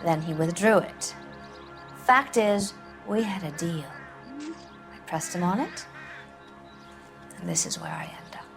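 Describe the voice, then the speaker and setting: A woman's voice: fairly low pitch, wildly swooping pitch, unhurried, pauses often, music in the background, exaggerated voice. An actress playing a proud, sarcastic female movie star who sounds drowsy and drifts into whispers. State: drowsy, drugged. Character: proud, sardonic female film star, drugged.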